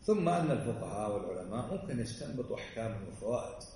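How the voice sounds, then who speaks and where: A male religious teacher giving an explanatory lesson, often checking understanding with quick asides. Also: fairly low pitch, big pitch swings, dark tone, slight background noise. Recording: roomy, distant mic, faint ambience.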